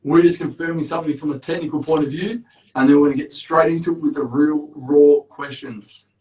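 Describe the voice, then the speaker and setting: A man hosting a casual live-stream interview, with a big, loud, chatty voice. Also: fairly high pitch, fairly even intonation, fairly quick. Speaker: host. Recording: echoey room, distant mic.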